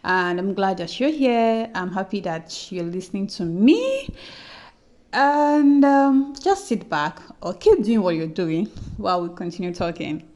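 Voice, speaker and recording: A woman's voice: medium pitch, expressive, a little slow, a little mellow. A young adult woman casually talking to her listeners in a personal audio journal, chatty and often repeating herself. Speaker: podcast host.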